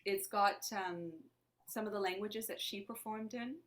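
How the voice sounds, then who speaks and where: A woman from Lebanon whose first language is Armenian talks as an interview guest. She speaks in a reflective, searching way, often voicing questions to herself, in a mid-pitched voice. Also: fairly even intonation, unhurried, a bit bright. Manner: thoughtful, searching, asks herself questions aloud. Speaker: actor and playwright guest. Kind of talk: conversational interview.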